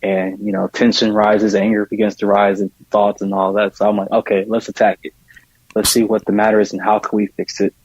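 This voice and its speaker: A man's voice: fairly low pitch, monotone, a little fast, breathy edge, no echo. Man interviewed, dull dry recording. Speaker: podcast guest. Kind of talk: conversational interview answers.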